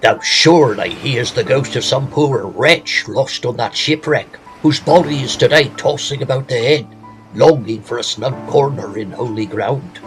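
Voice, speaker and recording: A man playing a nosy but polite village local in a radio play, superstitious and rustic. His voice is mid-pitched, with faint music behind it. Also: moderate pace, lightly acted, rough recording. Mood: spooked, insistent. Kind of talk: audio drama dialogue.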